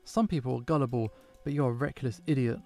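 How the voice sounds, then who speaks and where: A man's voice: medium pitch, quick pace, mellow, faint music behind, natural voice. Man doing a sarcastic scolding companion.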